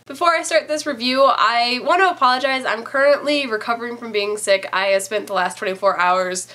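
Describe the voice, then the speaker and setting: A woman's voice: on the high side, brisk, pushed and bright. A woman in her twenties with a stuffy, nasal voice from a cold, chatting casually as a book reviewer. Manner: chatty, rambling with fillers.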